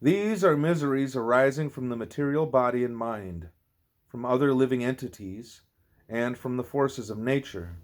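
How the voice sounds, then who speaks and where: A man's voice: mid-range voice, normal speed. A man reading a spiritual teaching aloud like an audiobook, occasionally stumbling and repeating words. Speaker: audiobook reader.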